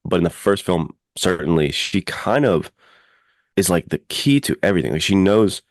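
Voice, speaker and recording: A man's voice: low-pitched, animated, moderate pace, no room sound, clean studio sound. A man chatting casually and enthusiastically as a podcast co-host, rambling informally through his opinions. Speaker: podcast co-host and adventure fiction writer.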